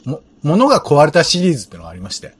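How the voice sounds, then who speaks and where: A man co-hosting a casual talk, rambling in a halting, stammering, self-deprecating way. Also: fairly high pitch, huge pitch swings, husky. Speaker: co-host.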